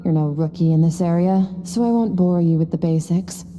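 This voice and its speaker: A woman playing a stern, aloof master swordswoman in a video game, lecturing in a cool, measured, terse manner. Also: on the low side, warm tone, in a large hall, faint music behind, natural voice. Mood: cool, instructive. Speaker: sword instructor. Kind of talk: scripted video game voice acting.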